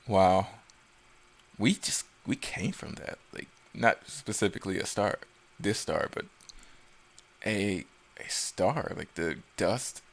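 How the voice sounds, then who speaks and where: A man's voice: sits low, creaky. A man giving casual, unscripted commentary as a video creator, rambling and often correcting himself as he goes. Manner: rambling, self-correcting, stumbling over words.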